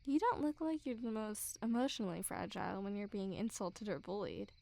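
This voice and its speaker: A woman's voice: medium pitch, fairly quick, vocal fry, warm tone, close to an everyday voice. A young woman playing a smug, mocking school bully girl who teases with a hint of care, in an intimate ASMR roleplay. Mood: taunting. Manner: mocking, teasing, giggly. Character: teasing, smug school bully girl, secretly a little caring. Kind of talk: ASMR girlfriend roleplay, intimate one-sided dialogue.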